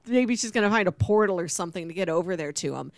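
A woman's voice: on the low side, animated, fast, no room sound. Casual female podcast host, dry close sound. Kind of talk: casual book discussion chat.

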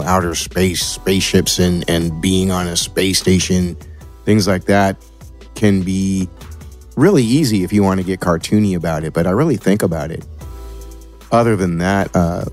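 American man, low-pitched voice, audio producer, explanatory interview answers, faint music behind. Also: a little mellow. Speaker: audio producer and sound designer, podcast guest.

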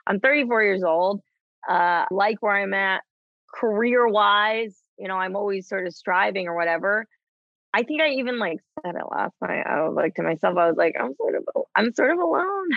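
A woman in her thirties talking candidly as a guest, halting with many restarts and frequent laughs. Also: medium pitch, lively intonation, creaky, no echo, lo-fi sound. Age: 30s. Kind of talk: candid conversation.

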